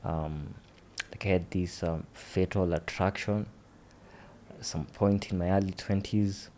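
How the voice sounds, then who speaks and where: A man in his twenties speaks as a podcast guest. His voice sits very low, and he talks slowly, pausing often with fillers. It is a relaxed, casual chat. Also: slight background noise. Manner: slow, hesitant, with many fillers.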